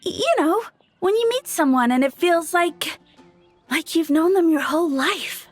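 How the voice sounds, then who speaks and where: High-voiced, lovestruck young townswoman. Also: expressive, soft background music. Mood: dreamy, wistful. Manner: dreamy, hesitant, breathless pauses. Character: lovestruck, flustered young townswoman, dreamy and shy. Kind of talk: video game voice acting.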